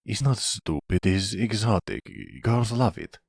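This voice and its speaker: A man's voice: on the low side, measured pace, a little mellow, husky, no room sound, studio quality, voice pushed up. A man playing a brash, loyal young son with a casual, cheeky manner in an ASMR roleplay drama.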